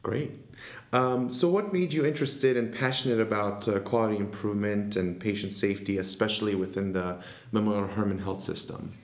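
A man's voice: fairly low pitch, fairly quick. A man hosting a podcast interview, asking questions in a hesitant way with many fillers. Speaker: resident interviewer. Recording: echoey room.

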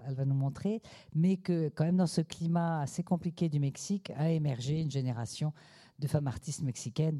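An older woman, a museum curator, introducing a guest speaker off the cuff, with many pauses and fillers. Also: extremely low pitch, dark tone. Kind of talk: spontaneous introductory speech.

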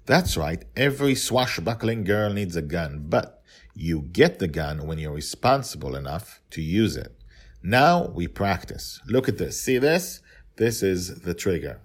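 A patient pirate dad from a storybook, voiced by a man reader, low in pitch. He teaches step by step, encouraging and emphatic. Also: very animated, measured pace, husky, full character voice. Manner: instructive, emphatic. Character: patient adult pirate father teaching his little daughter.

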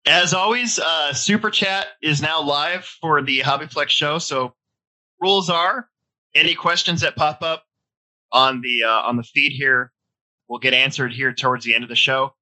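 A man's voice: fairly high pitch, moderate pace, bright, forceful tone, poor audio. A man in his forties hosting a casual hobby podcast from home, a bit stuffy-nosed, talking in a loose, rambling way. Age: 40s. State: stuffy nose. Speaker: podcast host. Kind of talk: casual chat.

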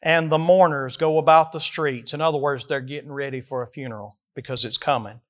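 A man in his early forties delivers a sermon in a notably high voice with a Southern American accent. He is folksy and emphatic, setting out scripture and then explaining it with questions to his listeners. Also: fairly even intonation, a little fast. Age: early 40s. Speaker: preacher. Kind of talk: expository sermon, reading verses and explaining them.

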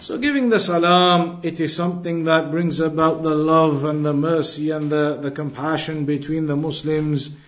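A man teaching an Islamic lesson, explaining in a deliberate way and repeating his points. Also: a little high, fairly even intonation. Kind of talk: religious lesson, reading Arabic then explaining.

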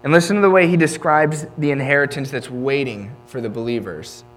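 A man's voice: mid-range voice, a little fast, fairly bright tone, faint music behind. Earnest young man preaching a sermon. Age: 20s. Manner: earnest, conversational preaching with frequent restarts.

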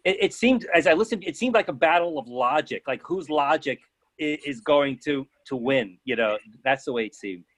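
Halting, thoughtful high-voiced man. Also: expressive, fairly bright tone. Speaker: participant in play reading discussion. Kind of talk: casual discussion, asking questions.

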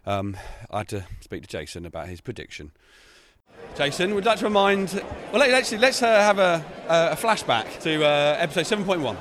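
A man hosting a casual football podcast outdoors, jokey and halting with fillers. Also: fairly high pitch, sing-song, background noise. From England. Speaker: podcast host. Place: outdoors near a stadium.

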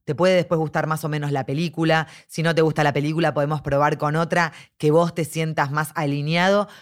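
A woman's voice: deep voice, fairly even intonation, fairly quick. A woman with an Argentine Spanish accent, speaking enthusiastically as an interviewee about an immersive dome cinema. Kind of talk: casual interview.

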